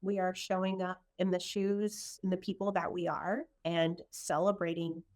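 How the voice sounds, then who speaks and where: A middle-aged woman from El Salvador speaks in an earnest, reflective way, sharing her own story in a conversation. Her voice sits a little low for a woman. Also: little pitch movement, normal speed, a little mellow. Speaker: guest in a conversation.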